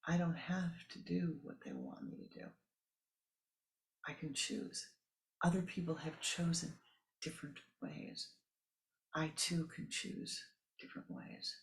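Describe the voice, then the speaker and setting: A man's voice: on the high side, fairly even intonation, a little slow, creaky, pauses often, poor audio. A man giving a spiritual talk, musing aloud and repeating words for emphasis. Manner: emphatic repetition, musing asides. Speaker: spiritual teacher. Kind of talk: sermon.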